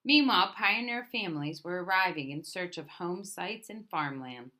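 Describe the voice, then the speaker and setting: A woman reading a picture book aloud as a storyteller, in a deliberate manner with emphatic openings to her phrases. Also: lowish voice, expressive, sharp tone. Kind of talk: scripted picture book narration.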